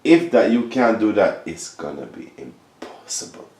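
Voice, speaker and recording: A man's voice: on the low side, very animated, measured pace, a little mellow. A man in his late thirties talking in an insistent, lecturing way in a casual group discussion, pressing his point on the others. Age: 30s. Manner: insistent, lecturing, keeps saying listen to me and trust me. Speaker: discussion participant. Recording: echoey room.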